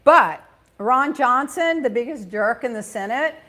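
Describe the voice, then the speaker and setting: A middle-aged woman hosting a talk show, chatting casually about gossip and news with blunt opinions and quick asides. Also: fairly high pitch, expressive, fairly bright tone.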